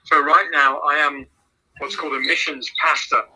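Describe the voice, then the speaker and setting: A man with an English accent speaks in a measured way as a missions pastor. His voice is very bright and a little husky. He often repeats words while gathering his thoughts. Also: a little high, fairly even intonation, low-quality recording. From England. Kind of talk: casual phone interview answers.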